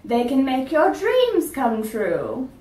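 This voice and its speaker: A woman doing a high, secretive old woman. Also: wildly swooping pitch, slow, darkish tone, full character voice. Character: old woman, mysterious and pleading. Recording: roomy sound from a distance.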